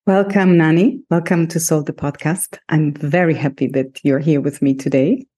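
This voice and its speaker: A woman's voice: low voice, fairly even intonation, moderate pace, warm tone, slightly rough. A woman hosting a podcast, presenting and putting questions to a guest. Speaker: podcast host. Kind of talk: scripted introduction and interview questions.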